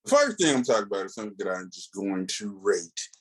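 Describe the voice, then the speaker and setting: A man's voice: fairly low pitch, big pitch swings, quick pace, breathy edge. A man co-hosting a podcast, talking casually in a slangy, emphatic, digressive way as he gives his opinions. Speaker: comics podcast co-host. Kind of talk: casual, opinionated comic book review chat.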